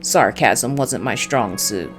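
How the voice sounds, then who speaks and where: A woman's voice: a bass voice, takes it slowly, music in the background, pitched below the performer's own voice. A woman voicing a world-weary ex-cop private detective, narrating a noir visual novel in a dry, brooding way. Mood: wry, weary.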